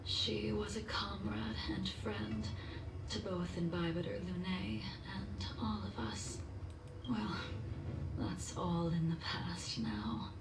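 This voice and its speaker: Roomy noisy game audio, ancient female warrior, low soft voice, calm, wistful, formal. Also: far from the mic. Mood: solemn. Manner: slow, measured, formal. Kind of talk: video game voice acting.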